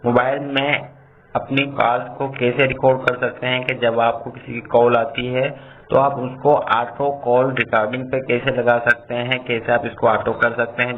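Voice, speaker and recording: A man hosting a tutorial, explaining steps in a repetitive, instructional way. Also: mid-range voice. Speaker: tutorial host. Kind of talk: step-by-step phone tutorial narration. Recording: tinny phone-like audio, background noise.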